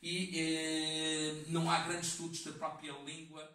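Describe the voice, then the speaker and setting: A man's voice: fairly high pitch, completely flat delivery, sharp tone, a little hiss or hum. Man lecturing in an echoey classroom. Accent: European Portuguese. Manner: halting, many fillers and restarts. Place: community classroom with seated audience. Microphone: far camera in the room. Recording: echoey room, voice from a distance.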